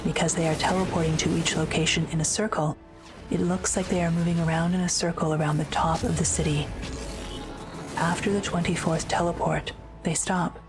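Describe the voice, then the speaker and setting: A woman narrating an audio drama script in a measured, matter-of-fact way. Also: fairly low pitch, level tone, over music.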